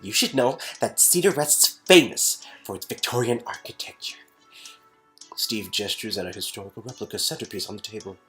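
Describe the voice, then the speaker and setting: A woman's voice: extremely low pitch, expressive, moderate pace, fairly bright tone, soft background music. A woman reading interactive game narration informally, in a casual, joking manner. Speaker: narrator and dialogue reader.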